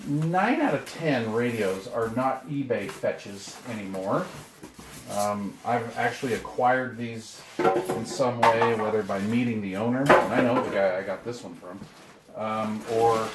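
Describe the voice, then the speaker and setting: A man giving casual, rambling, laid-back unboxing commentary as a hobbyist host, muttering to himself as he goes. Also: medium pitch. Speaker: video host, hobbyist radio collector. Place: indoor room, handling items from boxes. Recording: echoey room with clear noise and clicks.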